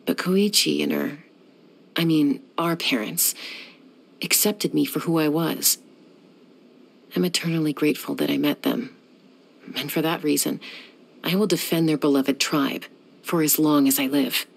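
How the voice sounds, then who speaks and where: A cocky, husky young gunslinger. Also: female voice, sits low, steady tone, unhurried, pauses often, exaggerated voice. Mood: cool. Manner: cool, self-assured, dryly teasing. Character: confident young woman peacemaker gunslinger who flies. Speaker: game character. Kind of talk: video game voice lines.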